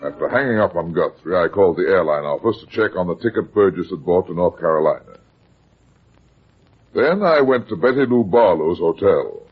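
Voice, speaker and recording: An actor playing a brusque, sardonic, wisecracking private detective in a vintage radio drama. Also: male voice, deep voice, animated, moderate pace, husky. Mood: narrating. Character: hard-boiled, wisecracking male private detective. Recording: muffled vintage radio recording.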